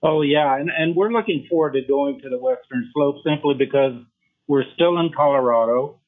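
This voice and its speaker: An older man from Memphis whose first language was French, a physician guest in a podcast interview, speaking in a measured, formal way with frequent pauses and fillers. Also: on the high side. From Memphis, Tennessee. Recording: tinny phone line.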